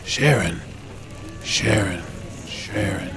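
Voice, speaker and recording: A gruff, bossy detective played by a man, low and gravelly, takes his time with each line. Music plays behind him in this old-time radio crime drama. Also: lively intonation, extremely slow, exaggerated voice. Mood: preoccupied, muttering. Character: gruff, boozy male police detective, brusque and bossy.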